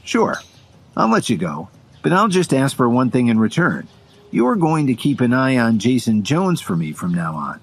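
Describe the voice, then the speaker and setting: Man as a self-assured, animated hero. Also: mid-range voice, moderate pace, breathy edge. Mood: composed. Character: calm, defiant young male hero, self-assured. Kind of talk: audio drama acting.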